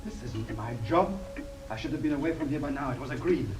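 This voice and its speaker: A man's voice: medium pitch, fairly quick, lightly acted. Man playing a jittery, peevish criminal accomplice over music. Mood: fretful. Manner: peevish, anxious. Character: reluctant, jittery accomplice to murder, complaining. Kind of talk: radio drama acting.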